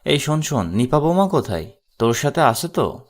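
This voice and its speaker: A man's voice: mid-range voice, big pitch swings, moderate pace, lightly acted. A man playing a worried, scolding middle-aged father in an audio story, questioning urgently and sternly. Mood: anxious, urgent. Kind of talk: Bengali audio story acting.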